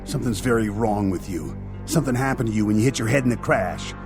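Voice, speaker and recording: A man's voice: on the low side, fast, music in the background, natural voice. Actor as tough, distrustful military spaceman. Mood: accusing, angry. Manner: commanding, confrontational, clipped. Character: tough, suspicious, hard-driving military astronaut, distrustful and determined. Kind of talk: radio drama acting.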